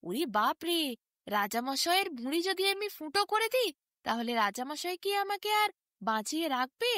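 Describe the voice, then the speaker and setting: Cautious storybook mouse, played by a woman, fretful and sing-song, with very lively pitch. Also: moderate pace, no room sound, studio quality. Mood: fearful refusal. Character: hospitable but timid, cautious mouse.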